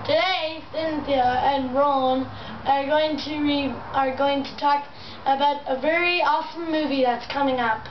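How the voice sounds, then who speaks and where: A young woman hosting a homemade puppet show, improvising in a halting, rambling, enthusiastic way. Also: high voice, steady tone. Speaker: amateur puppet show host. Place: room in a house. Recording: muffled, distant, noisy echoey home video.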